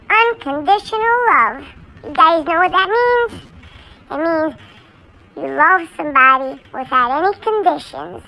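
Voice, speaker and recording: Someone doing a bright, high little puppy. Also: full character voice, rough recording. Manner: rambling, earnest, childlike chatter. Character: chatty six-month-old male Sheltie puppy. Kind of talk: talking-pet video monologue.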